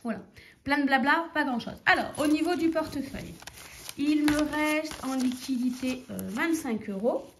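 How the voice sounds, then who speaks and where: A woman's voice: high voice, moderate pace, a little hiss or hum. A woman in her thirties with a cold and a stuffy nose, chatting casually to her viewers and counting out cash. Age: 30s. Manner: chatty, rambling, counts money aloud. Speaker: budgeting vlogger. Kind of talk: casual chat to camera.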